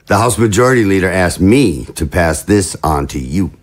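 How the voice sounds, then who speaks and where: A man's voice: low-pitched, animated, normal speed. A man playing a jovial, scheming political chief of staff in an audio drama, with a glib, jocular, theatrical manner. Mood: breezy, teasing.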